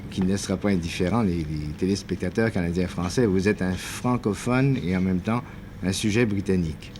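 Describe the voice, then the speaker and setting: Brief: low-voiced male interviewer, measured questions, faint hiss. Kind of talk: broadcast interview.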